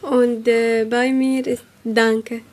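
A woman's voice: a little high, flat delivery, extremely slow, darkish tone. A nineteen-year-old woman from Syria speaking casually as a participant on youth radio. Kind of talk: casual self-introduction.

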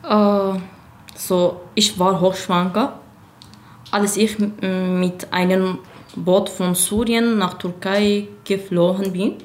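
A young woman from Syria, answering interview questions in halting speech with many fillers. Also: mid-range voice, steady tone. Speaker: radio guest.

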